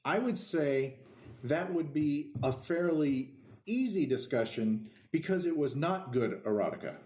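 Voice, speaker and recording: A man co-hosting a casual podcast, explaining things in a halting, hedging way. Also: a little high, lively intonation, darkish tone. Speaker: podcast co-host. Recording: echoey room.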